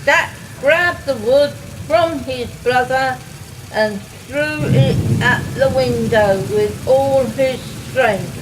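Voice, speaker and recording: A woman's voice: high-pitched, slow pace, heavy background noise. A woman narrating a scripted Halloween radio story in a dramatic style.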